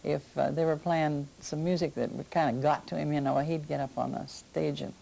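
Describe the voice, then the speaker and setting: Older female interviewee, deep gravelly mellow voice, casually sharing memories. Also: flat delivery, normal speed. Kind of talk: casual reminiscing.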